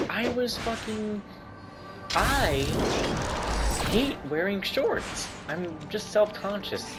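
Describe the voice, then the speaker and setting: A young man streams a game with loud music playing behind him. He chats casually and gripes with plenty of swearing, his mid-pitched voice swinging widely up and down. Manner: profane, griping, animated. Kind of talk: casual livestream chat over gameplay.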